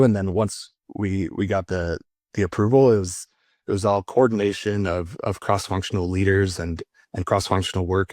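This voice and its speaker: A man speaking as a guest in an unscripted panel discussion, explaining his work in a measured way and repeating words as he goes. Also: low-pitched, darkish tone, no echo, clean studio sound. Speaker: customer support leader, panel guest.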